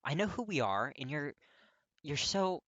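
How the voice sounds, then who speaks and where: A man voicing a gruff, hot-tempered young man awkwardly confessing his feelings in an audiobook, blunt, halting and strained. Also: on the high side, very animated, a bit bright, dry recording, lo-fi sound, pitched above the performer's own voice, heavily acted. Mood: demanding. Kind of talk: dramatic audiobook character reading.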